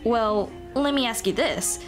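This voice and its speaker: A woman playing a shy, earnest Dullahan schoolteacher in an ASMR roleplay, speaking softly and expressively. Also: a little high, a little slow, soft background music, lightly acted. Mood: earnest, warm. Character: shy, earnest female Dullahan teacher, headless monster girl on a date.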